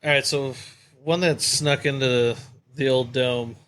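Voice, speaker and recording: A man's voice: medium pitch, fairly even intonation, unhurried, a bit bright. A man co-hosting a podcast in casual, conversational chat. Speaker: podcast co-host.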